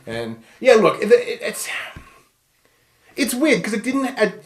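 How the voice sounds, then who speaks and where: A man's voice: high-pitched, sing-song, unhurried. An Australian man co-hosting a casual film review chat, speaking bluntly and mockingly with plenty of swearing. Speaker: podcast co-host. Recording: echoey room.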